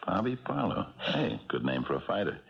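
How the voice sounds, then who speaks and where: A man playing an older, haunted retired American policeman, speaking haltingly in 1970s radio mystery style. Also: sits low, sing-song, creaky, breathy edge, full character voice. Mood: wry, hesitant. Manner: halting, hesitant, colloquial. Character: Jerry Roman, old retired policeman, wistful, haunted storyteller. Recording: dull 1970s radio broadcast, music behind.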